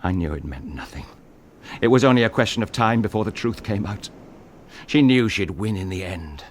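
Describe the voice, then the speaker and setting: An actor playing a tormented English gentleman in a radio drama, speaking with intense, bitter, clipped delivery. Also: lowish voice, lively intonation, moderate pace, slight background noise, close to an everyday voice. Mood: grim, haunted. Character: tormented English gentleman husband confessing to killing his wife.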